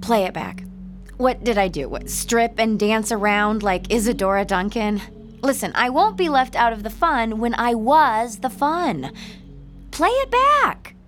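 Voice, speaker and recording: A woman's voice: fairly high pitch, sing-song, unhurried, a bit bright, faint music behind. An actress playing a sceptical, sardonic young woman artist in an audio drama, wry and emphatic. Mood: agitated, demanding. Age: young adult.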